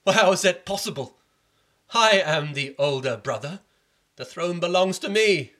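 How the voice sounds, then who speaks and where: A man voicing a jealous, petulant older prince who complains loudly about being overlooked. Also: medium pitch, very animated, moderate pace, slightly rough, full character voice. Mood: indignant. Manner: whining, resentful outbursts.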